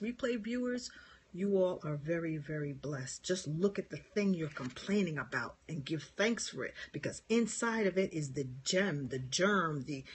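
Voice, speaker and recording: Husky-voiced healer with a New York accent. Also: medium pitch. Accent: New York area. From New York and New Jersey. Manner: meandering, gentle, laughs often. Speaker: spiritual healer and Reiki teacher. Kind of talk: live-stream talk answering viewer comments.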